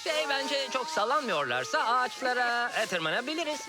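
An actor voicing an excitable, boastful pet cat sidekick in a dubbed children's cartoon, with comic stammers. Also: high voice, normal speed. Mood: nervous, comic. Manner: hesitant stammering, comic exclamations. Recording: music behind the voice.

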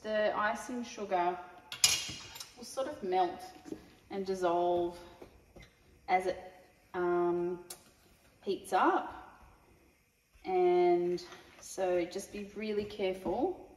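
A woman's voice: mid-range voice, far from the mic. A woman, casually talking through a recipe as she cooks in a large home kitchen. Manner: chatty, relaxed, talks while working. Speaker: cooking show host. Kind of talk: casual cooking demonstration. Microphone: camera mic from a few metres. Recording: echoey kitchen, voice off-mic.

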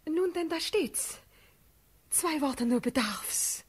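A young princess speaks fervent verse in a classic radio play. Her high, breathy voice moves slowly but swings widely, noble and pleading. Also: lively intonation. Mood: insistent, pleading. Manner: fervent, urgent declamation.

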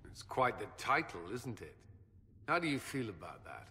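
A battle-weary male commander from a fantasy game. His voice is bright and forceful, with a blunt, skeptical delivery. It carries a long reverb. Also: medium pitch, very animated, moderate pace. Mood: dry, skeptical. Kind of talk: dramatic fantasy game acting.

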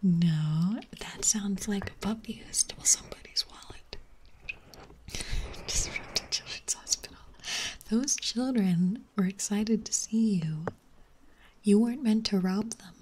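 Woman ASMR streamer, unhurried close-mic whisper, teasing and giggly. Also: medium pitch, low-quality recording. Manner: soft whisper, playful and giggly.

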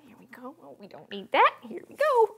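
A young woman playing a chirpy, wacky cooking-show host in a home kitchen, with a bubbly sing-song delivery and sudden hushed asides. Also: high voice, wildly swooping pitch, brisk, full character voice. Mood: perky. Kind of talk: parody cooking show, scripted comedy.

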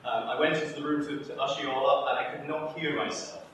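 A man's voice: a little high, level tone, fast, fairly bright tone. A man from Edinburgh, director of an institute, opening a workshop with a chatty, wry talk full of ums. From Scotland (Edinburgh). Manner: chatty and self-deprecating, many ums, wry asides. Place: large lecture room with a projector. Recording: very echoey room, voice from far off, faint noise.

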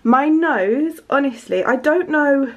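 A bubbly young woman with a slightly high voice talks through her home vlog, her nose stuffed up. She chats casually to a handheld camera in her living room. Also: lively intonation, slow pace. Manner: chatty and enthusiastic, many fillers like um and like. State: stuffy nose from hay fever. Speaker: lifestyle vlogger.

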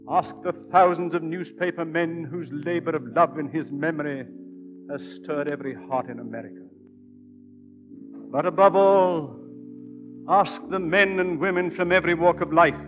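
An actor playing a grave, reverent clergyman, giving a measured, oratorical eulogy in old radio drama style. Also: a little high, lightly acted. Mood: stirring. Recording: dull old radio recording, music behind. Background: music.

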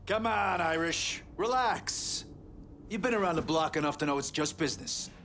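A cocky middle-aged American mafioso in a crime game. He wheedles in a slangy, fairly high voice over faint noise. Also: lively intonation, moderate pace, a bit bright. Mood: placating. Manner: slangy, pushy.

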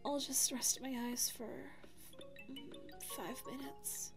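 A woman's voice: high-pitched, fairly even intonation, takes it slowly. A woman voicing a sweet, anxious female dog secretary with a crush, speaking hesitantly in an ASMR roleplay. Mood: weary self-reproach. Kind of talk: ASMR audio roleplay monologue. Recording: echoey reverberant sound with background music. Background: music.

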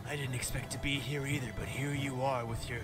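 A man's voice: mid-range voice, steady tone, a little fast, natural voice. A man voicing a brash, sardonic hedgehog antihero, flippant and dramatic, in an improvised comedy game dub. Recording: loud game music behind.

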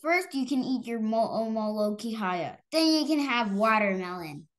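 A woman's voice: a little high, animated, normal speed, close to an everyday voice. A woman voicing a firm, caring mother in a storybook, instructing and questioning a child.

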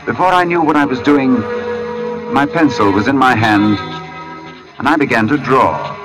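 An actor playing a brooding, haunted middle-aged artist in an old-time radio suspense drama, narrating in formal, deliberate phrases with dramatic pauses. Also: male voice, mid-range voice, lightly acted, poor audio. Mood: tense, mesmerized. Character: brooding forty-year-old male artist, haunted, precise, foreboding. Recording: vintage radio broadcast recording.

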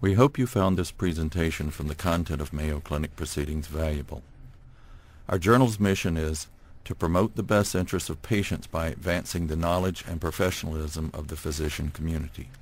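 Deep-voiced man reading a formal outro. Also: steady tone, fairly quick. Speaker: journal announcer. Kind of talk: scripted closing announcement.

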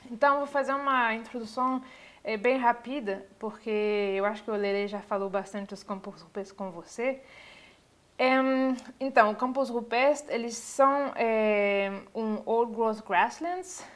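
A woman's voice: on the high side, steady tone. Hesitant woman lecturing. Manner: hesitant, many filler pauses. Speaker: university lecturer. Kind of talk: online lecture over slides. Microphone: webcam on a video call.

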